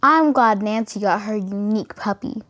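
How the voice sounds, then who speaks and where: A woman's voice: mid-range voice, normal speed, a bit bright. A woman hosting a storytime for children, giving a scripted introduction and sign-off. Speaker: storytime host.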